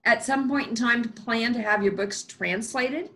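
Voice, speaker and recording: A woman's voice: a little high, unhurried, bright, forceful tone. A woman hosting an interview, asking friendly, encouraging questions that ramble a little. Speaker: interviewer, host. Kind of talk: casual interview.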